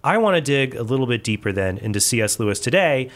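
A man in a mid-range voice hosts a casual podcast, chatty and curious. He sets up topics and keeps asking his co-host to clear things up. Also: medium pitch, big pitch swings, fast, fairly bright tone. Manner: curious, asks questions, chatty.

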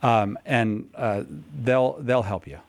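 A man's voice: mid-range voice, steady tone, takes it slowly, dry recording. A man hosting a live radio call-in show, chatty and friendly, often correcting himself. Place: radio studio.

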